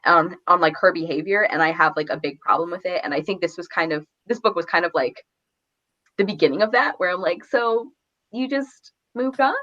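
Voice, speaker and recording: A woman's voice: lowish voice, fairly quick, pushed and bright, dull audio. A woman chatting casually about books in a rambling, conversational, giggly way. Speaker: book discussion host. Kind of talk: casual book discussion chat.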